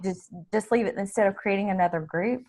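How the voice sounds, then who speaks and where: A woman's voice: medium pitch, brisk, darkish tone. A woman on a team call asking questions hesitantly, stumbling over her words.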